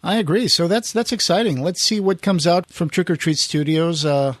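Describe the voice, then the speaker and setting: A man's voice: a little high, moderate pace, a bit bright, dry recording. A man hosting a podcast in a casual conversational style. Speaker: podcast host.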